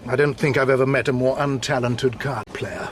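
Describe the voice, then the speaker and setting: A man's voice: mid-range voice, fairly even intonation, moderate pace, slight background noise, natural voice. A man playing a suave, cold-blooded murderer in a period radio drama, speaking with urbane, sardonic menace. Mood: smug, cool.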